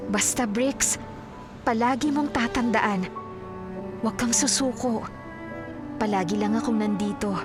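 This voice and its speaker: A woman's voice: fairly high pitch, measured pace, long pauses, natural voice. An actress playing a devoted, caring career woman in a Filipino radio drama, speaking earnestly with a Filipino accent. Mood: tender, devoted. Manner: earnest, solicitous. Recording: loud music behind the voice.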